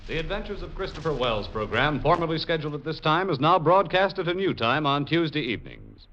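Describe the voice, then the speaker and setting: Higher-pitched male announcer, muffled old radio. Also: very animated, a little fast, a bit bright, a little hiss or hum. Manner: formal, polished delivery. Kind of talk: 1940s radio scripted announcement.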